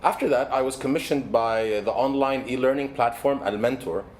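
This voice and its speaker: A man's voice: medium pitch, brisk, a little mellow. Arabic-native man giving a talk, noisy recording. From Egypt. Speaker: keynote speaker and wellbeing coach. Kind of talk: motivational keynote talk. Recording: background noise, ambient sound.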